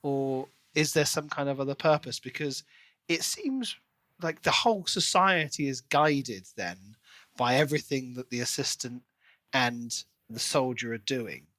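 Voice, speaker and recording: A man's voice: medium pitch, lively intonation, a little slow, a bit bright, no echo. A man co-hosting a podcast, casually discussing a book in a speculative, questioning way. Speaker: podcast co-host.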